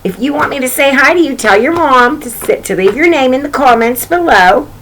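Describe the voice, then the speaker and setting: Animated woman reading stories to children. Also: high voice, brisk, slight background noise, poor audio. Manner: chatty asides, repeats phrases, addresses child listeners directly. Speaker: children's story reader and host. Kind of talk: chatty picture-book read-aloud to children.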